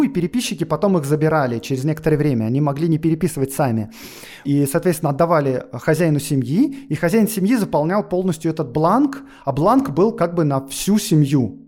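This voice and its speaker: A man's voice: on the high side, a little mellow. A man explaining history on a podcast in a lecturing way, with frequent fillers and asides. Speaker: guest historian.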